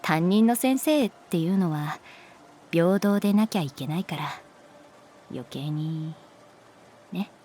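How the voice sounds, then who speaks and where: A woman voicing a calm, resigned, gently protective art teacher in a Japanese audio drama, speaking in a soft, measured, wistful way. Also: lowish voice, slight background noise. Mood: wistful, confessional. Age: adult. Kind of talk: Japanese audio drama acting, intimate dialogue.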